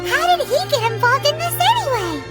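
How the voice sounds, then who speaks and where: Squeaky, perky little game fairy. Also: female voice, very animated, normal speed. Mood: skeptical. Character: small childlike floating fairy companion, perky and skeptical. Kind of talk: video game voice acting. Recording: music behind the voice.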